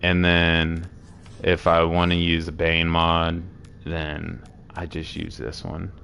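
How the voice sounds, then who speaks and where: A hoarse man with a sore throat casually walking through game builds, rambling with many fillers. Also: sits low, monotone, slow, fairly bright tone, a little hiss or hum. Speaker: game streamer explaining builds.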